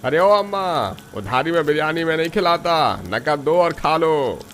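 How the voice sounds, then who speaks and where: A man's voice: fairly high pitch, animated, measured pace, fairly bright tone, slight background noise. A man playing a brash street hawker selling biryani in a cartoon story. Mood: curt, dismissive. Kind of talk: Hindi cartoon story voice acting.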